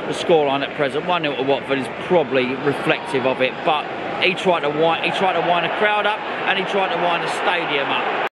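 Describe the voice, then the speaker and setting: A man's voice: fairly high pitch, brisk. A man with an English accent giving chatty, sweary, repetitive match vlog commentary from a football stadium stand. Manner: chatty, repeats phrases, sweary. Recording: loud stadium crowd, noisy outdoor handheld recording. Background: loud match crowd and ambience.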